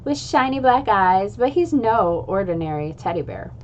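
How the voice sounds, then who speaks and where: A woman reading a children's book aloud in an expressive, playful way, adding little sound effects. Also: mid-range voice, normal speed, a little hiss or hum. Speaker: reader.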